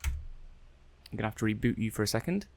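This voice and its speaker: A man acting an affable technician in an ASMR sci-fi roleplay. His voice sits fairly low with plenty of gravelly creak. He talks softly and hesitantly, thinking aloud as he runs tests on a robot, a little flustered. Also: animated, normal speed. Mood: chatty, slightly flustered. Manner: hesitant, musing aloud, conversational. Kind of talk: soft-spoken ASMR roleplay.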